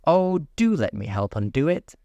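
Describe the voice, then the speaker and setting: A man's voice: on the high side, big pitch swings, normal speed, warm tone, dry recording, muffled recording, pitched above the performer's own voice. A man voicing a polite, curious young girl, humble and eager, in a storybook reading.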